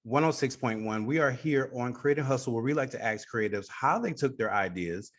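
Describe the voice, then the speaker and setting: A man's voice: medium pitch, a little fast. A man hosting a radio show, interviewing a guest and repeating phrases for emphasis.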